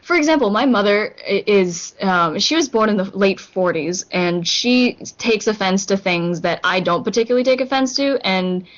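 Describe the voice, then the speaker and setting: A woman's voice: medium pitch, animated, normal speed, hardly any pauses. A young woman from Hong Kong chatting casually on a podcast, rambling with lots of fillers and restarts. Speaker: podcast co-host. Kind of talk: casual group chat. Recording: muffled lo-fi recording.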